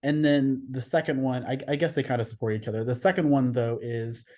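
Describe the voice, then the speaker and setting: A man from Texas, a guest artist on a podcast, talking casually and thoughtfully, often pausing to find his words. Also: mid-range voice, darkish tone. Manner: thoughtful, searching for words, frequent restarts.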